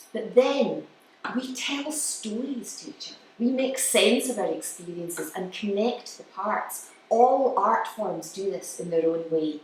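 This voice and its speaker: A woman giving a live explanatory talk as a musician, with halting pauses. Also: mid-range voice, a little mellow. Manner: explanatory, halting pauses, counts beats aloud. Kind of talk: live lecture with demonstrations. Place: indoor room with an audience. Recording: distant mic, very echoey room, faint noise.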